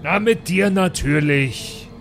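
A man voicing a needy imprisoned dwarf with a drawn-out, plaintive manner in an improvised role-play. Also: high-pitched, gravelly, soft background music, voice pushed up, exaggerated voice. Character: needy, slow-talking imprisoned male dwarf, claiming brotherhood.

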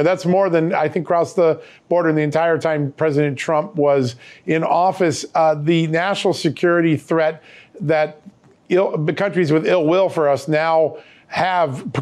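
A man's voice: on the high side, a little mellow. A middle-aged American man hosting a political program, talking in an emphatic, folksy broadcast style. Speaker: host and interviewer. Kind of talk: political interview and sponsor ad reads.

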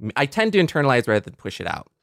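A man's voice: mid-range voice, sing-song, very fast, fairly bright tone, dry recording. Man co-hosting a casual podcast, dry close sound. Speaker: podcast co-host. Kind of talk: casual reflective podcast chat.

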